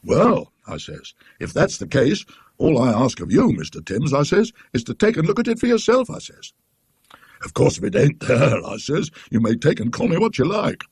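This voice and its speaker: A man's voice: fairly high pitch, wildly swooping pitch, moderate pace, creaky, a little mellow, husky, dry recording, clean studio sound, pitched above the performer's own voice, heavily acted. A man voicing a talkative, indignant working-class British tram conductor in a ghost story, rambling and emphatic in his colloquial speech.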